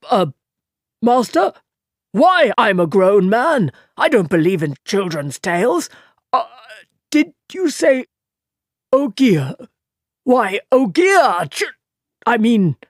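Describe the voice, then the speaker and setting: A woman's voice: high-pitched, animated, moderate pace, no echo, clean studio sound, voice pushed up. A woman voicing a chattering, easily flustered village man in an audiobook reading. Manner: breathless gossiping, stammering when nervous.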